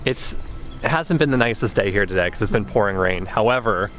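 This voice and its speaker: Lower-pitched man vlogging over music. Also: expressive, a little fast, a bit bright. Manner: informal, conversational. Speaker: travel vlogger, co-host. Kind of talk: casual vlog narration and chat.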